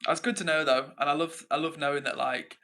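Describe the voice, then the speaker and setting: A man's voice: a little high, steady tone, a little fast, pushed and bright. A man from England with an English accent, hosting a casual livestream, reading out comments and rambling informally as he thinks aloud. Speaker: livestream host.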